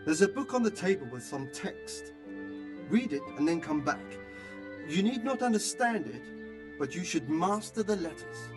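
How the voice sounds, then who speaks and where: Scholarly game mentor, encouraging and theatrical, high-set voice, very animated melody. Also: male voice, a little slow, music in the background. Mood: encouraging, instructive. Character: learned, encouraging male scribe tutoring a young man. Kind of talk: medieval video game cutscene acting.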